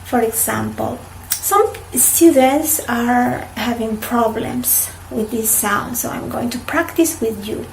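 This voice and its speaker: A woman teaching English pronunciation, deliberately repeating words for learners to copy. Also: fairly high pitch, vocal fry, slight background noise, rough recording. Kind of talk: pronunciation drill for students.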